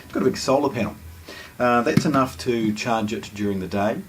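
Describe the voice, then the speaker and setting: A man giving a casual hands-on product unboxing commentary, explaining things with hesitant pauses between phrases. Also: mid-range voice, noisy background. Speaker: product presenter.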